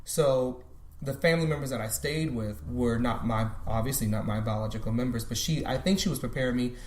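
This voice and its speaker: Brief: thirty-something man, guest, thoughtful and halting, mid-pitched voice, echoey room. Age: 30s. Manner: thoughtful, restarts often. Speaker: podcast guest. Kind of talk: reflective casual conversation. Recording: roomy echo.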